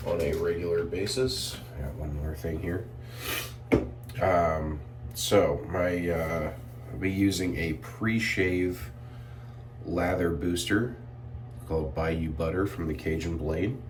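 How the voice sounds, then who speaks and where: A man reviewing shave soap in a relaxed, rambling way, a bit sniffly and clearing his throat often. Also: low voice, slow pace, far from the mic, noisy background. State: sniffly from irritated sinuses. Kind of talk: casual product review vlog.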